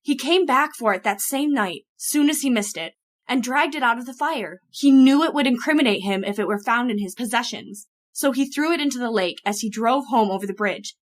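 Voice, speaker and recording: Female actor as nervous, distrustful friend, high voice, urgent delivery for a radio chiller. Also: lively intonation, a little fast. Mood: accusing. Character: anxious, suspicious female friend in a horror thriller. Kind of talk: old-time radio horror acting.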